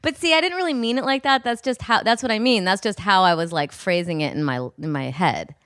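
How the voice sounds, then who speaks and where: A woman's voice: mid-range voice, lively intonation, brisk, fairly bright tone, dry recording, clean studio sound. An American woman co-hosting a podcast in casual conversation, candid and rambling, hedging as she goes. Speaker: podcast co-host.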